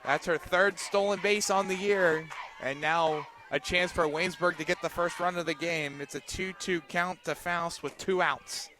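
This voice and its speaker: A man doing live radio play-by-play of a softball game, calling the action in short, clipped phrases. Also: fairly high pitch, steady tone, normal speed, few pauses. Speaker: play-by-play announcer.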